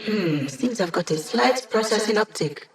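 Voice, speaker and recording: Video game mission-support hacker, female, young: wry, conversational, quick with quips; voiced by a woman. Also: medium pitch, expressive, natural voice. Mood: wry, playful.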